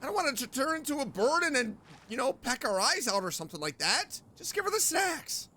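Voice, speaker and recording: A woman's voice: sits high, very animated, brisk, bright, forceful tone, slight background noise. A woman streamer doing casual, playful commentary on a game playthrough, reacting and reading dialogue aloud expressively. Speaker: streamer, VTuber.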